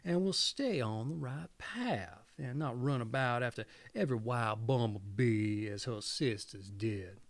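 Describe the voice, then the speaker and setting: A man's voice: medium pitch, expressive, measured pace, hardly any pauses, no room sound. A man voicing a woodcutter father in a storybook, firm and reassuring.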